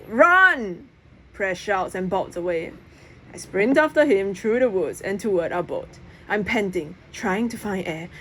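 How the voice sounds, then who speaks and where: A woman reading a children's novel aloud in expressive narration. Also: mid-range voice. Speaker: audiobook reader. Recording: clear background noise.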